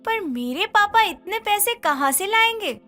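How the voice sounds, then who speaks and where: A woman's voice: very high voice, animated, a little slow, bright, forceful tone, exaggerated voice. A woman voicing a meek, dutiful young daughter-in-law in a cartoon, speaking politely and hesitantly. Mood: anxious.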